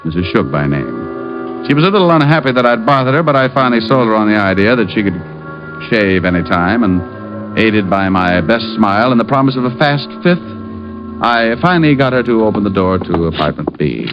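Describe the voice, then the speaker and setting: A man's voice: on the low side, animated, a little fast, over music, lightly acted. A man playing a wisecracking, sardonic private detective in an old-time radio drama, dry and flippant. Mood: wry narration. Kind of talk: 1940s-50s radio detective acting and first-person narration. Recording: muffled old radio recording.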